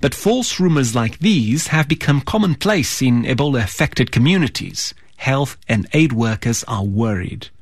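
A man reading a scripted news report in a serious, measured tone. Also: medium pitch, expressive, husky, no echo, clean studio sound.

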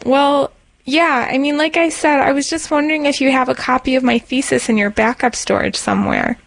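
High-voiced woman, dry sound. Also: sing-song, fairly quick, creaky, no room sound. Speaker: customer calling for help. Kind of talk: informal phone conversation.

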